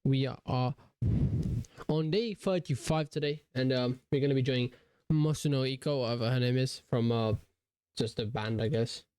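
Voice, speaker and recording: A man's voice: medium pitch, normal speed, a little mellow, clear, resonant tone, no room sound, clean studio sound. A young man with a cold, narrating his drawing video casually, rambling with many fillers and restarts. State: has a cold, voice deeper than usual. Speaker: digital art YouTuber. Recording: faint guitar music behind. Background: faint guitar music.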